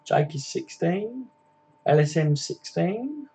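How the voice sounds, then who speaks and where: A man's voice: fairly high pitch, very animated, takes it slowly, vocal fry, mellow, slightly rough. A man, hosting a casual live stream, chatting matily with viewers and laughing easily. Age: 20s.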